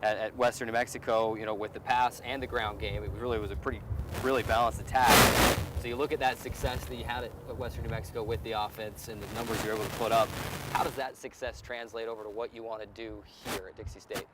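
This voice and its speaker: A man's voice: on the low side, flat delivery, fast talker, heavy background noise. An American man hosting a sports show and interviewing a guest, speaking outdoors beside a football field.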